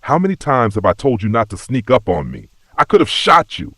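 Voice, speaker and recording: A defiant, short-tempered fanged investigator acted by a man in a dark supernatural audio story. The delivery is tense and growling, husky in tone, with pitch that jumps about sharply. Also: big pitch swings, moderate pace, dry recording, studio quality, exaggerated voice. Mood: irritated.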